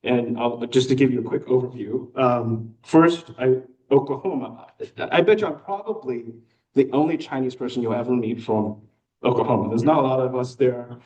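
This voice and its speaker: A young American man giving a casual personal talk with slides to a club meeting, relaxed and chatty with frequent fillers. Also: mid-range voice, normal speed, far from the mic, muffled recording. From United States. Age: young adult. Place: meeting room.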